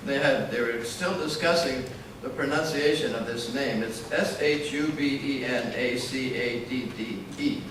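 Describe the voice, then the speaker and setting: A man's voice: mid-range voice, a little slow, few pauses. Male emcee, joke-telling host patter; distant, echoey, noisy room. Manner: storytelling joker, delivers a punchline. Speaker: master of ceremonies. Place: large conference hall. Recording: echoey hall, far from the mic, noisy.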